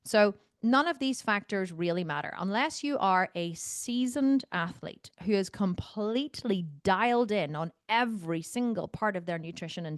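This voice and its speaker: A woman's voice: medium pitch, no room sound, clean studio sound. A woman working as a fitness coach, talking bluntly and insistently on a video call, repeating phrases for stress. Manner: blunt, no-nonsense.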